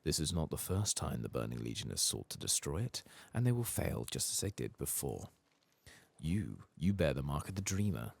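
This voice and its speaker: A very deep, creaky temple guardian, acted out by a man, speaks softly and gravely in fantasy game dialogue. The delivery is formal and measured, full of devout solemnity. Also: very animated, no room sound, full character voice. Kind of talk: soft-spoken reading of video game dialogue.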